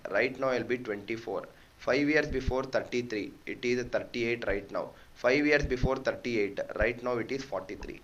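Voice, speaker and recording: A man teaching a live math lesson, explaining step by step and repeating points. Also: mid-range voice. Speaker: online exam-prep teacher. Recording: dull lo-fi audio with faint noise.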